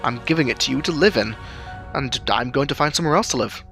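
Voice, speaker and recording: A man voicing a proud, eager and surprisingly gentle demon friend in a narrated story, earnest and a little showy. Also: medium pitch, quick pace, faint music behind. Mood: nonchalant, friendly.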